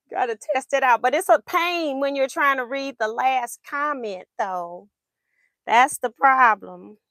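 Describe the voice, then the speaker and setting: Brief: high-pitched woman, chatty livestream host, slightly strained voice, dry close sound. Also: takes it slowly, bright, forceful tone, no echo. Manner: chatty, laughs often, reads viewer comments aloud. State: voice going in and out. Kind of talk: casual livestream chat while sewing.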